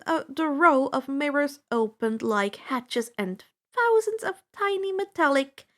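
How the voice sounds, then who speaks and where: A woman with a high voice narrates adventure fiction. She takes her time, reading slowly and deliberately with expressive, dramatic delivery. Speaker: audiobook-style fan fiction reader. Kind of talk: dramatic first-person story narration.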